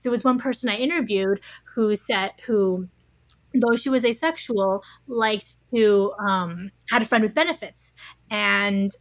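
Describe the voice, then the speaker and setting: A woman's voice: mid-range voice, unhurried, dry recording. Woman interview guest, dry close sound. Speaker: interview guest, science writer. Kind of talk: thoughtful conversational interview answers.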